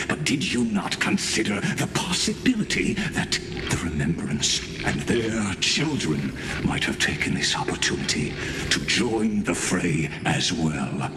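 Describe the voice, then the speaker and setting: An actor playing a cold, arrogant scholarly villain in a video game, speaking in pompous, deliberate academic phrases. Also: male voice, sits low, roomy sound, noisy background, full character voice.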